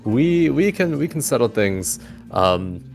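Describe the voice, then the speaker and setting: A man acts out a flustered auction host in a tabletop game, pitched up from a low register. The host stammers and keeps trying to soothe everyone, with music clearly behind. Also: big pitch swings, slow pace, full character voice. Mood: flustered, placating. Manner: stammering, placating.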